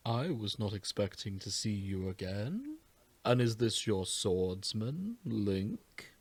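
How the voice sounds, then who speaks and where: A man voicing a solemn, grateful warrior spirit with a slow, stately, formal delivery in an amateur dramatic reading. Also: fairly low pitch, sing-song, breathy edge, dry recording, full character voice. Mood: grave surprise.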